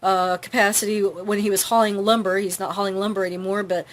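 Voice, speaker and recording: A woman's voice: medium pitch, level tone, a little fast. A middle-aged woman hosting an informal how-to talk, chatty, with many fillers. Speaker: host.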